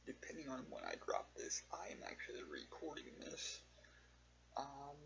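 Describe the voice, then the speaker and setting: A man recording a casual, rambling personal vlog, speaking earnestly and haltingly in short phrases with long pauses. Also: mid-range voice, huge pitch swings, gravelly, fairly bright tone. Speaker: vlogger. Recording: dull lo-fi audio with faint hum.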